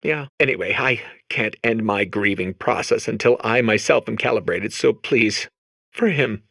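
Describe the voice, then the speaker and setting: A man's voice: on the low side, moderate pace, gravelly, fairly bright tone, dry recording, clean studio sound. A man voicing a solemn, needy robot in mourning, overwrought and melodramatic, in a comic video game. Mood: grieving, vengeful.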